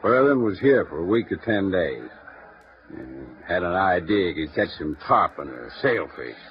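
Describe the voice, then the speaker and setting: An actor playing a bluff, gruff small-town newspaper editor who talks in a blunt, folksy way, in a 1950s radio drama. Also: male voice, low voice, big pitch swings, moderate pace. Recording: muffled vintage radio broadcast with faint hiss.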